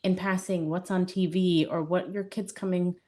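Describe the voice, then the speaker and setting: Woman cohost, chatty and animated, laughs a lot, keeps restarting her sentences. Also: fairly low pitch, moderate pace. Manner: animated and emphatic, laughs often, breaks off and restarts. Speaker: podcast co-host. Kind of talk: casual podcast conversation.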